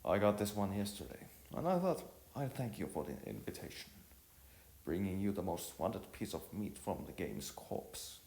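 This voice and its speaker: Man as an earnest deep-voiced boy, formal. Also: very animated, moderate pace, creaky, a little mellow, exaggerated voice. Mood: polite, modest. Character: earnest, modest boy, polite and formal hunter. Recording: roomy echo with music behind.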